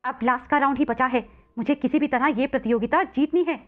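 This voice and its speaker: A woman's voice: high voice, fairly quick, lightly acted. A woman voicing a jealous, scheming, dramatic younger sister in a children's story, through a narrow phone-like recording.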